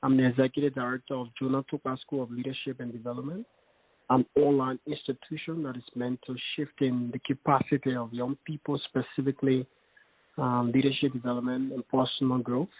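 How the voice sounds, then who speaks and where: A man's voice: medium pitch, little pitch movement, fairly quick, husky, dry recording. A young man from Liberia, a guest in a casual interview, speaking earnestly and hesitantly with many fillers. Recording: dry, phone-like call audio.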